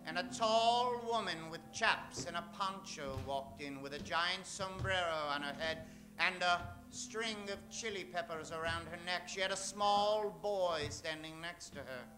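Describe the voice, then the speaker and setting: A man's voice: high voice, a little slow, over music. A man narrating and announcing in a theatrical old-time radio style, melodramatic and playful.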